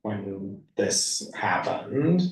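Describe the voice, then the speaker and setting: A man leading a casual group discussion, halting and hedging as he thinks aloud. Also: fairly low pitch, sing-song. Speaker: reading group discussion leader. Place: indoor room at a group meeting. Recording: echoey room, distant mic.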